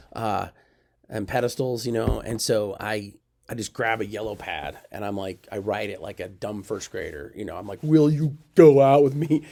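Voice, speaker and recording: A man telling a personal story in an emotional, self-mocking way, with sudden jumps in pitch. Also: a little mellow.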